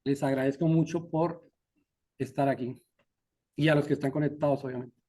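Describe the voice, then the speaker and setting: A man with a Colombian accent presenting his statistics research and answering questions in a relaxed way, often ending his points with a questioning tag. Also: mid-range voice, normal speed. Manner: relaxed, frequent "¿no?" tags and "eh" fillers. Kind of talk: academic talk and Q&A.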